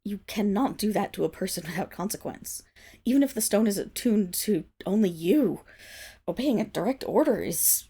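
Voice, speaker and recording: A woman acts out a proud wolf-girl servant with a husky, slightly gravelly voice. Her pitch leaps and dips expressively as she speaks in haughty, formal phrases. It is intimate ASMR roleplay, indignant and easily flustered. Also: animated, warm tone. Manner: haughty formal diction, halting when flustered. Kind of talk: ASMR roleplay, dramatic voice acting.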